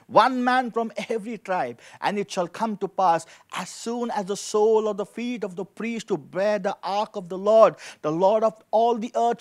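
High-pitched man preaching emphatically. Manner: emphatic, repeats key phrases. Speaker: pastor preaching. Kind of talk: sermon with scripture reading. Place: indoor studio with dark backdrop, at a lectern. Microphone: handheld microphone.

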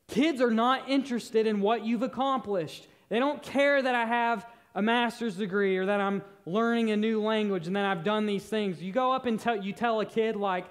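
A young man preaching a sermon on a church stage, earnest, shifting between loud emphasis and soft, near-whispered moments. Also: sits high. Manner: paces the stage gesturing with both hands. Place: church stage in a large hall.

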